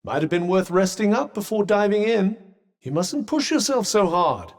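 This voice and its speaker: A sardonic, condescending British magical armband from a fantasy video game. The voice sits fairly high and swoops expressively through formal, fussily cautious understatement. A long reverb trails every phrase. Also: male voice, expressive, normal speed. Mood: dry, sardonic. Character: sentient magical vambrace, wry, haughty, fussily cautious male companion. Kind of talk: video game character dialogue.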